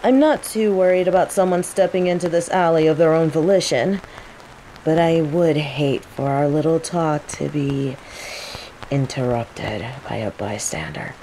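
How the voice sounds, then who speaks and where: A woman playing a cocky, teasing female mage who controls plants, in a scripted roleplay audio drama, with an unhurried, playful drawl. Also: sits low, poor audio. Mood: casual, instructive. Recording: steady rain ambience noise. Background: falling rain.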